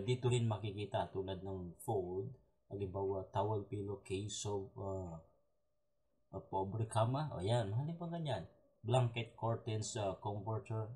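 A man giving a relaxed software walkthrough, explaining step by step with frequent fillers and tag questions. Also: mid-range voice. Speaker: software tutorial presenter. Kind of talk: casual screen-recorded software walkthrough.

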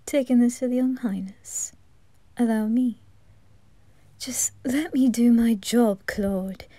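Woman as devoted maid, soft insistent whispers, slow, dry close sound. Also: on the high side, no echo. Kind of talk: fan ASMR roleplay audio drama.

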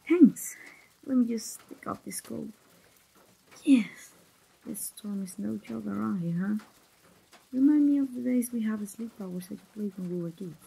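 A woman's voice: medium pitch, a little slow, vocal fry, long pauses, mellow, slightly rough, heavily acted, poor audio. A woman, voicing a chatty, teasing young best friend in an ASMR roleplay, playful and familiar, as if speaking straight to the listener. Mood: teasing, friendly. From Mexico. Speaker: voice actor.

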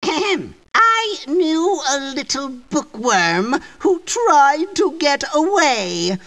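A man playing a gleefully wicked old magician in a cartoon game, hammy and taunting, with drawn-out words and a theatrical, cackling delivery. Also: very high voice, exaggerated voice. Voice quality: cackling, flamboyantly expressive. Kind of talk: exaggerated cartoon villain acting.

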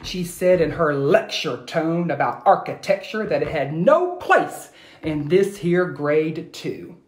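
An American man, a teacher in his thirties, reading aloud to children and asking them questions in an expressive, instructional way, recorded on a phone at home. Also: a little high, takes it slowly. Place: small room at home, indoors. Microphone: phone at arm's length. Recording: echoey room, phone recording.

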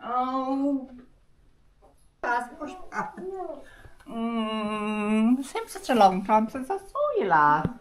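An older woman, a grandmother, speaking softly and unhurriedly in casual family chat. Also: fairly high pitch, sing-song, extremely slow, pauses often.

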